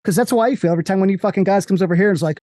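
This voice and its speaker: An American man talking casually and profanely about movies as a podcast guest, rambling with quick jokes. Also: high voice, no echo, clean studio sound. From United States. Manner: animated, profane, slips into mock voices.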